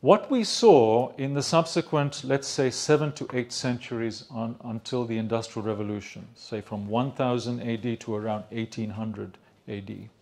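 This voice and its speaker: An older man from Sri Lanka giving an academic lecture in a conference room into a handheld mic, measured, with many fillers and restarts. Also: mid-range voice, darkish tone. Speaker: economics lecturer. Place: conference room with projector screen.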